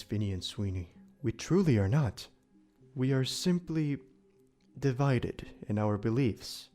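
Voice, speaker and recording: Man acting as calm immortal demon, soft dark timbre, solemn, formal old-fashioned phrasing, audio drama, faint music behind. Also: mid-range voice, close to an everyday voice. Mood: earnest, brooding. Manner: formal, measured, archaic phrasing.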